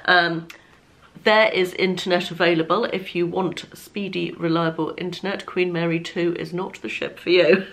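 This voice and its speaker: A woman talks straight to camera in an easy, chatty vlog. Her voice sits a little low and sounds slightly croaky, and she is self-deprecating, laughing between phrases. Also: flat delivery, normal speed. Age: 50s. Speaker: lifestyle vlogger. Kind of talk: casual chat to camera.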